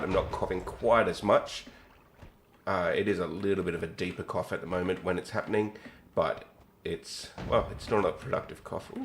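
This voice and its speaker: A man with a husky voice, recovering from being sick, chatting casually and thinking aloud as he live-streams a game. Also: low voice, slight background noise. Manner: rambling, thinks aloud while reacting to the game. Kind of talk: casual live-stream chat while gaming.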